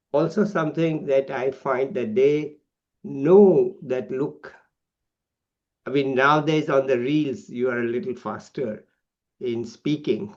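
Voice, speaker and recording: A man's voice: on the high side, a little mellow. A man with an Indian accent interviewing someone in a casual, conversational style. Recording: slightly dull, lo-fi sound.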